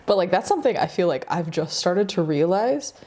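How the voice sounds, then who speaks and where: A woman of twenty-nine hosting a casual interview, excitable and gushing, with lots of "like" in her sentences. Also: fairly low pitch, fairly quick. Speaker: interviewer, music producer and songwriter.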